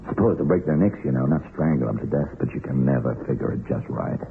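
A rock-bottom deep, weary older sheriff with a folksy voice, brooding over a guilty conscience in an old radio play. The sound is narrow and tinny, with light hiss. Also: male voice, lively intonation, quick pace, gravelly, heavily acted. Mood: grim. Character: weary, guilt-ridden small-town sheriff and hangman nearing retirement. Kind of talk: old-time radio drama acting.

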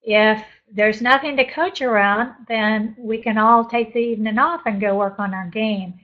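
A woman's voice: a little high, fairly even intonation, normal speed, phone-quality sound. A woman in her sixties coaching a group on a live webinar, upbeat and encouraging as she reads chat messages aloud and responds. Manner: upbeat, encouraging, laughs often. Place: home office with bookshelves.

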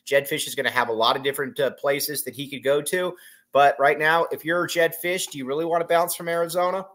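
A man's voice: fairly high pitch, fairly even intonation, a little fast, fairly bright tone. A man in his thirties hosting a solo sports podcast from a small room at home, rambling through a postgame reaction with many fillers and repeated phrases.

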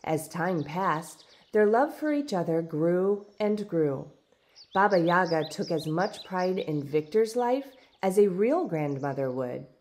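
A woman reading a storybook aloud in expressive, deliberate, dramatic narration. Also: fairly low pitch. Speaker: storybook reader. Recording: background noise with birdsong.

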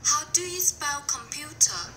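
Slow, bright-voiced woman reader. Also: high-pitched, animated, slight background noise. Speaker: dialogue reader. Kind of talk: scripted coursebook dialogue.

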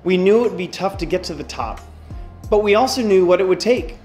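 A man in his forties reading a scripted open letter to camera from a hardware lab, measured and earnest. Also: high voice, animated, darkish tone, faint music behind. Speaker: company spokesman.

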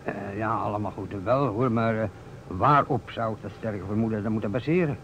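An actor playing a sceptical, puzzled amateur detective in a radio play, hesitant and grudging. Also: male voice, lowish voice, a little fast, a bit bright, natural voice. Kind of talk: Dutch radio play acting. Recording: dull, noisy old radio recording.

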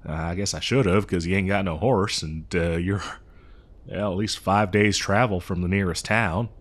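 Man playing a low-voiced, slow-witted cowboy. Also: steady tone. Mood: apologetic, surprised. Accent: American cowboy drawl. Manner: rambling, hesitant, folksy, slow-witted. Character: dim, good-natured cowboy mail rider lost in badlands. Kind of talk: improvised comedy audio roleplay.

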